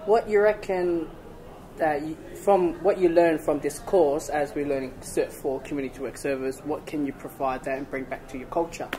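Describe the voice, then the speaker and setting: A man interviewing his classmates in a casual, halting way, often searching for words. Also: a little high, fairly even intonation, crowd noise, poor audio. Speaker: interviewer, student.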